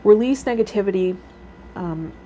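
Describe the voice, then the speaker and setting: A woman's voice: medium pitch, moderate pace, warm tone, poor audio. A woman hosting a tarot vlog, reading card meanings aloud and adding casual commentary of her own. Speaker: tarot vlogger and healer hosting a series. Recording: noticeable background noise.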